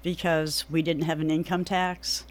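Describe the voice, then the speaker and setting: Measured middle-aged woman with a New England accent. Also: deep voice, flat delivery. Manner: measured, hesitant with many ums. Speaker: political candidate, radio guest. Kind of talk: radio interview. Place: radio studio.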